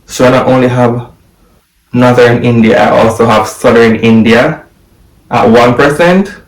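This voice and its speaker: A young man from Jamaica, talking excitedly to camera from a home office and repeating the numbers he reads out. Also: medium pitch, measured pace, far from the mic. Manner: excited, exclaiming, repeating figures. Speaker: vlogger. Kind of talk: casual video commentary. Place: home office room, indoors. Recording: echoey room, voice from afar.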